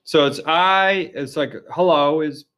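A man's voice: a little high, slow pace, a bit bright, smooth. A man interviewing language learners in a casual, curious and friendly way, with lots of fillers. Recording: dull lo-fi recording.

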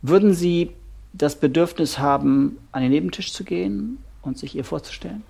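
Deliberate, gravelly male couples therapist. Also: fairly high pitch, dark tone, heavily acted. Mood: probing. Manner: deliberate, pausing, directive. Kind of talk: German audio drama, naturalistic dialogue.

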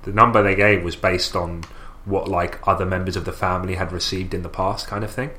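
A young man co-hosting a podcast, thinking aloud with many restarts and pauses. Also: low voice, level tone, pushed and bright, a little hiss or hum. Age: young adult. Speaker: podcast co-host. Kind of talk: casual chat.

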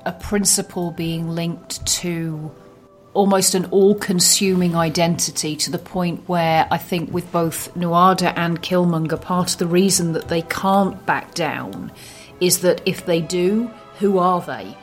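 Female podcast co-host, low register, measured and analytical, faint music bed. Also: fairly even intonation. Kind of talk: analytical film discussion.

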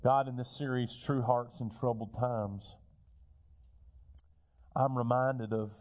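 A male pastor preaching a sermon while fighting a cold, his voice a little raspy, building earnest lists of repeated phrases. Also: medium pitch, a little mellow. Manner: earnest preaching, repetitive lists, rising emphasis. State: has a cold, slightly raspy.

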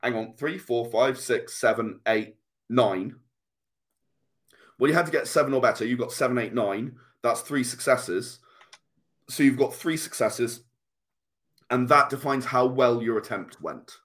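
British male guest, mid-pitched, conversational explainer, earnest, with hesitant restarts. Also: measured pace, long pauses, fairly bright tone. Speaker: guest, tabletop RPG and comic creator.

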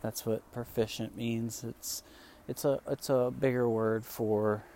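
A man whose first language is Spanish, coaching English learners in a calm, relaxed way with many fillers. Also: medium pitch, a little slow, slight background noise. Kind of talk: relaxed teaching monologue.

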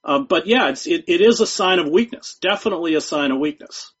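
A man speaking as a guest journalist in an interview, talking in a measured, emphatic way and often repeating words. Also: a little high, expressive, fairly bright tone, breathy edge. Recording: dull lo-fi sound.

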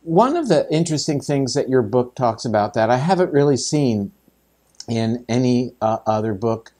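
A man's voice: medium pitch, expressive, moderate pace, warm tone. A man hosting a podcast, asking questions in a conversational way with many fillers. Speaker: podcast host. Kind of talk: interview questions.

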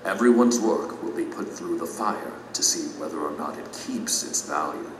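A deep, humbled, sorrowful former teacher. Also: male voice, lively intonation, normal speed, far from the mic, background noise. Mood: solemn regret. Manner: solemn, confessional, grieving. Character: humbled former teacher, regretful male lowly plumber. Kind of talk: allegorical audio drama acting. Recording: echoey hall, music behind, off mic.

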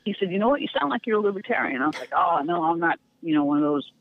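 A middle-aged woman, a podcast guest, telling candid stories in a frank, lively way with easy laughter and a neutral accent. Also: lowish voice, very fast. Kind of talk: candid storytelling. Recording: tinny phone-line sound, dry.

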